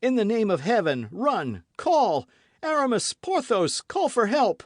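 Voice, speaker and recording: A man's voice: on the high side, very animated, moderate pace, a bit bright, no echo, clean studio sound, voice pushed up. A man voicing a passionate young man who cries out urgently. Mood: frantic. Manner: crying out, urgent. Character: young man, passionate and frantic, devoted lover.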